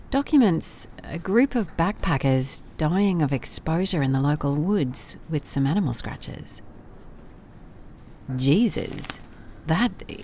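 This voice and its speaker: A woman's voice: low-pitched, a little mellow, slight background noise. A woman playing a wry, methodical private investigator in an audio drama, musing and dictating case notes in a dry manner. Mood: musing, businesslike, then puzzled. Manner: dry, musing, clipped note-taking. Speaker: lead actor in audio drama. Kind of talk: first-person audio drama case log.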